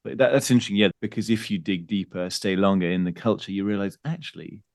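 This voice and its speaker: A man's voice: lowish voice, fast talker, vocal fry, no echo, clean studio sound. A man hosting a podcast interview, listening attentively and affirming his guest. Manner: attentive, affirming, frequent backchannels. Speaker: podcast host.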